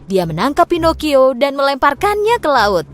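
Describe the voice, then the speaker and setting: A woman narrating a scripted fairy tale for children in an expressive, clearly phrased way. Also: sits high, normal speed, over loud music. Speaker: storyteller.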